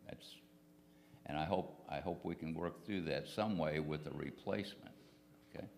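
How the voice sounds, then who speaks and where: A man, a legislator, making an earnest, measured argument at a public forum. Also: a bass voice, vocal fry, slight background noise. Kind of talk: answering questions at a public forum. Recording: reverberant hall.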